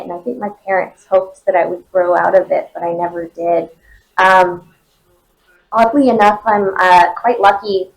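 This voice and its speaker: A young woman with a high, nasal voice answers questions at a convention. She talks in a loose, chatty way, full of ums and likes. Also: creaky, rough recording. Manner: chatty, many fillers. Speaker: voice actor guest. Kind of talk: casual convention interview.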